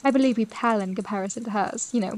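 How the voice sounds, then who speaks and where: An actress playing a wistful, overlooked young sister in a period audio drama, with polite, formal and expressive speech. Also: female voice, mid-range voice, rapid-fire, lightly acted. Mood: resigned, bitter. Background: music.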